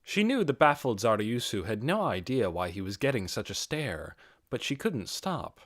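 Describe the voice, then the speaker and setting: A man reading a fantasy audiobook as narrator, in a measured and expressive dramatic storytelling style. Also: medium pitch, no echo, clean studio sound.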